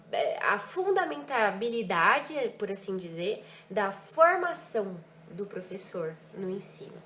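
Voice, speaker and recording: Young woman, French teacher vlogging, fairly high voice, expressive and emphatic, echoey room, faint hiss. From Brazil, Ribeirão Preto. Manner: lively, emphatic, drops French words into Portuguese. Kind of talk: personal reflection vlog.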